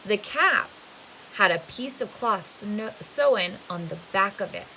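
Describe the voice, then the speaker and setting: Bright-voiced woman reading a story aloud. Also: medium pitch, slow, low-quality recording. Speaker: teacher reading aloud to students. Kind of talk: novel read-aloud narration. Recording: faint hiss behind the voice. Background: faint steady hiss.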